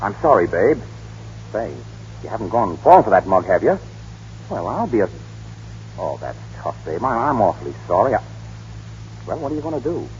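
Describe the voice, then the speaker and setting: An actor playing a brash, slangy newspaperman with a soft spot for a colleague, in 1930s radio style. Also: lowish voice, sing-song, fairly quick, long pauses, a little hiss or hum. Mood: concerned. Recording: muffled, humming old 1930s radio recording.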